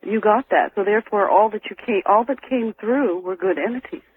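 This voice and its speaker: A woman's voice: mid-range voice, brisk, breathy edge. A woman calling a radio show by telephone, chatting and putting questions to the guests. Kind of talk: conversational phone-in questioning. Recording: thin, tinny telephone line.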